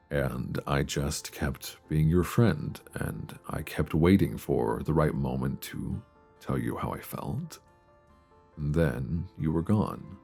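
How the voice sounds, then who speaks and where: A man acting as a lovestruck male friend in an intimate ASMR romance roleplay. His voice is extremely low with a gravelly creak, soft and hesitant, spoken close to the mic over faint music. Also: heavily acted. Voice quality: deep voice. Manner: hesitant, soft, earnest, with sighs and pauses. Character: lovestruck longtime male friend confessing love, tender and nervous. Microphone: close binaural microphone.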